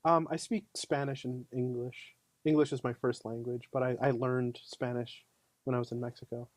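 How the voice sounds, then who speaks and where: A man's voice: mid-range voice, level tone, normal speed, darkish tone, dry recording. Easygoing man chatting as a podcast guest. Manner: easygoing, chatty, lots of fillers like "you know". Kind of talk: casual conversation.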